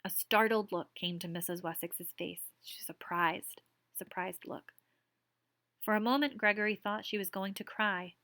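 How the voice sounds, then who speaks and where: Soft-spoken woman reading aloud. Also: medium pitch, moderate pace, dry recording. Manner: soft, explanatory. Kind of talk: storybook read-aloud with explanations.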